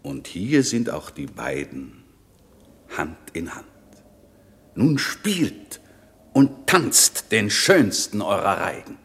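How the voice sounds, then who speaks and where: An actor playing a stern, stately sorcerer lord in a classical radio play, declaiming verse with music behind him. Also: male voice, lowish voice, very animated, takes it slowly, long pauses, fairly bright tone, exaggerated voice. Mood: commanding.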